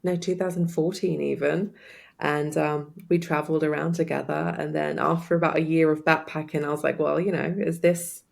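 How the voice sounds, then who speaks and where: A woman's voice: low-pitched, level tone, moderate pace, vocal fry, darkish tone. A woman in her thirties with an English accent, a guest on an interview podcast telling her story in an upbeat, chatty way and laughing often. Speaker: guest, life coach. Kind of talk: casual interview chat.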